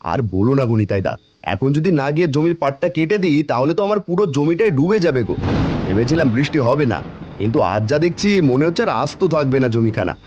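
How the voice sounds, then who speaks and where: A man's voice: on the high side, big pitch swings, fast talker, dull audio, noisy background. A man voicing a chatty, fretting village farmer with a rustic, familiar manner in a Bengali cartoon fairy tale.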